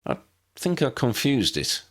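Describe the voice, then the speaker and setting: A man's voice: medium pitch, wildly swooping pitch, a little slow, voice pushed up. A man voicing a polite young wizard who leads his team in a storybook tale. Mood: apologetic.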